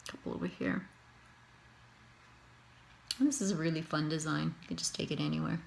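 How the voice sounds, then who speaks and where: A woman's voice: sits low, steady tone, fairly quick, vocal fry, slight background noise. Chatty Canadian woman painting her own face. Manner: chatty, thinking aloud, upbeat. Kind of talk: casual tutorial narration while painting herself.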